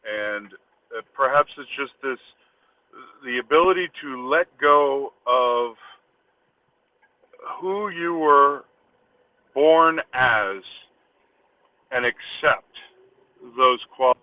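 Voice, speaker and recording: A man speaks slowly and reflectively, pausing to find words in a casual voice message. The sound is thin and phone-like, with echo and some distance from the microphone. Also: medium pitch, animated. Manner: halting, reflective, searching for words. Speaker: caller leaving a voice message.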